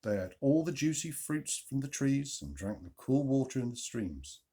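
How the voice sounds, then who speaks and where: A man narrating a children's Bible story, with expressive emphasis and questions put to the listeners. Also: mid-range voice. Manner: expressive, dramatic emphasis and pauses. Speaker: storyteller, reader.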